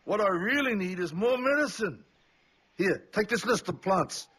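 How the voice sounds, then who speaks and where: An actor playing a forgetful, cranky old man in a video game, with a husky voice and a querulous, rambling manner. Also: sits high, expressive, moderate pace, fairly bright tone, exaggerated voice. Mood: confused, rambling. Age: older. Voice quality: husky, raspy. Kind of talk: video game character acting.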